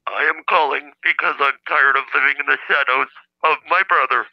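A man voicing an indignant caller in a comedy phone-in, with a stilted, insistent, synthesizer-like flat delivery over the telephone. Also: fairly high pitch, fairly quick, creaky. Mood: aggrieved. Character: indignant male caller claiming to be a famous scientist's brother, robotic-talking. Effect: telephone call. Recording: dull lo-fi phone line.

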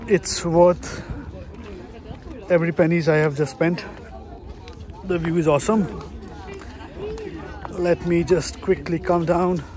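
A man's voice: fairly high pitch, fairly even intonation, slow pace, long pauses, a crowd in the background, poor audio. A middle-aged man from India, a solo travel vlogger talking chattily and with enthusiasm while outdoors on a hilltop fortress, filming on a handheld camera, with self-deprecating asides. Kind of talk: solo travel vlog narration.

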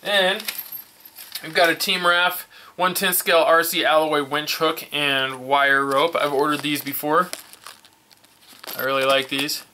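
A middle-aged man with a bright, forceful voice talks casually and eagerly while unboxing RC parts. He keeps saying he is super stoked. The sound comes from a camera mic at a workshop bench, with faint package crinkling and clicks behind him. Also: on the high side, flat delivery, a little slow, pauses often, slight background noise. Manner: enthusiastic, repeats "super stoked". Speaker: RC hobby vlogger. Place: indoor hobby workshop bench.

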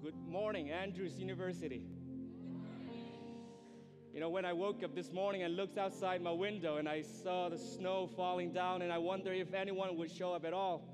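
A man's voice: high voice, steady tone, normal speed, over music. A young man from Myanmar, an English speaker, preaching a sermon to students in a polite, earnest, conversational way. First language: a language of Myanmar. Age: young adult. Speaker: guest preacher. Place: large indoor auditorium stage. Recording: reverberant hall.

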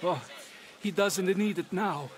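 An actor playing a blunt bystander who describes an accident. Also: male voice, sits high, slow pace.

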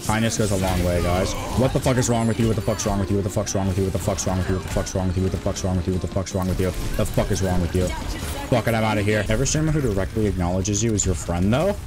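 A man in his twenties streaming a game, talking in a rambling, monotone way with many restarts. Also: sits low, rapid-fire, music in the background. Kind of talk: casual stream chat.

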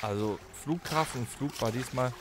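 A man's voice: mid-range voice, moderate pace, crowd noise. A man in his forties co-hosting a comedic audio play podcast, cracking dry, sarcastic jokes into a studio mic in a small home studio. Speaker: podcast co-host.

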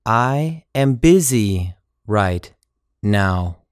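A man's voice: on the low side, lively intonation, drawn-out words, clean tone, dry recording, clean studio sound. A man with a General American accent reading English phrases for learners, saying each one normally, then word by word. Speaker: English teacher. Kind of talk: slow language-drill reading.

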